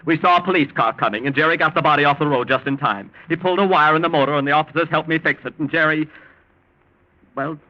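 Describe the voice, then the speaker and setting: A man's voice: a little high, fast talker, natural voice. An actor playing an anxious, weak-willed young man, faltering and defensive, in 1940s radio drama style. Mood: confessing. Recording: dull old 1940s radio recording.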